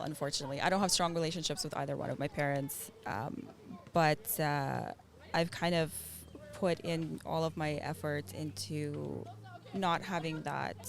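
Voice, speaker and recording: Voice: mid-range voice, vocal fry. An adult parent who was born in Russia and grew up in Israel and Canada, talking earnestly and thoughtfully as a stranger interviewed outdoors, laughing often. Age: adult with children. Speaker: interviewee, stranger met on the street. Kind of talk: casual conversation. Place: outdoors in a public area. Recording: busy crowd noise behind.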